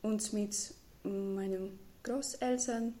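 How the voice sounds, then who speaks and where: Slow-speaking teenage girl with a Ukrainian accent. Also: medium pitch, steady tone, dark tone. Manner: hesitant, searching for words. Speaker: interviewee, refugee student. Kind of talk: interview answers.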